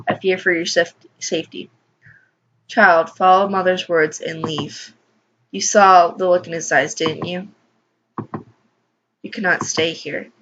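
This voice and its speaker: A woman's voice: on the low side, steady tone, measured pace, long pauses, fairly bright tone, a little hiss or hum, low-quality recording. A woman voicing a stern, controlling mother who scolds and commands, in a visual novel read-aloud. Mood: stern, scolding.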